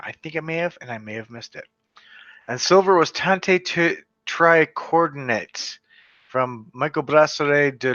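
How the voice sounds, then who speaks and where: A man's voice: medium pitch, sharp tone, no room sound. A man from western Canada casually running through beer award winners, chatty and stumbling over foreign brand names. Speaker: beer reviewer. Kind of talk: casual solo video commentary reading award results. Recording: slightly dull lo-fi sound, dry.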